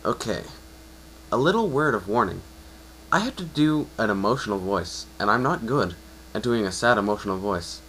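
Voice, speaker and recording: A man's voice: fairly low pitch, animated, a bit bright, slight background noise, rough recording. A man narrating a fan story as an amateur reader, often stumbling and correcting himself. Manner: halting, self-correcting delivery.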